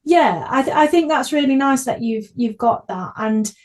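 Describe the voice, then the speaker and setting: A woman hosts a casual podcast conversation. Her voice sits fairly high. She speaks effusively and with enthusiasm, in an informal chatty style. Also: expressive, normal speed, darkish tone. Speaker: podcast host.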